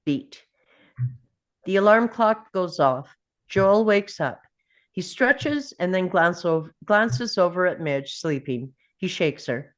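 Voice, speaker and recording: A woman reads scene headings and action lines from a script. Her voice sits fairly low, and she moves through the narration at a leisurely pace. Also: animated, slow pace. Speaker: reader of stage directions. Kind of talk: scripted narration, present-tense screenplay directions.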